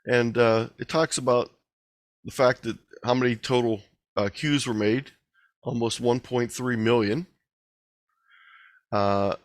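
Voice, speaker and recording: A man's voice: mid-range voice, no echo, studio quality. A man giving an informal online presentation, explaining and reading out figures in a conversational way. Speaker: presenter of an amateur radio talk. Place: online video meeting.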